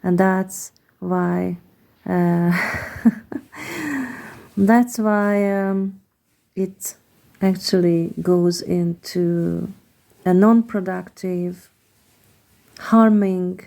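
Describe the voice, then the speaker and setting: Hungarian-accented woman reading tarot cards, hesitant and unhurried, dark gravelly voice. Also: lowish voice. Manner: hesitant, searching for words with many pauses. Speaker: tarot card reader. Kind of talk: slow, reflective card reading.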